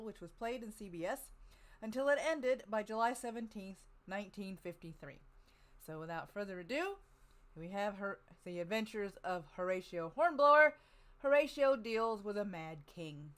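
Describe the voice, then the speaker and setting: A woman's voice: medium pitch, expressive, normal speed, a bit bright. A woman hosting a panel show, reading introductions and chatting casually between segments. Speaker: podcast host.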